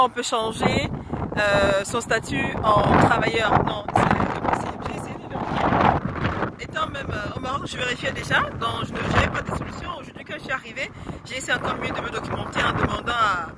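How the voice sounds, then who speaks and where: Woman with the flu, casual vlog, rambling with fillers, outdoors, heavy wind noise. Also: fairly high pitch. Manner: rambling, frequent fillers and self-corrections. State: has the flu. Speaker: vlogger. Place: outdoors by a lake in freezing wind.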